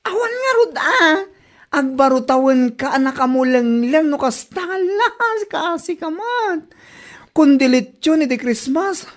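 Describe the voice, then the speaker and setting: Woman acting a high-pitched, teasing Ilocano neighbour. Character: gossipy, teasing neighbour woman, a smug friend of Anita. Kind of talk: comedy radio drama acting.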